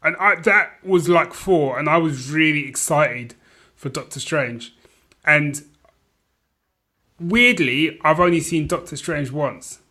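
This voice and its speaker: A man with a bright, forceful, slightly high voice chats as a podcast co-host. His delivery is casual and rambling, full of conversational asides. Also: takes it slowly. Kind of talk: casual film chat.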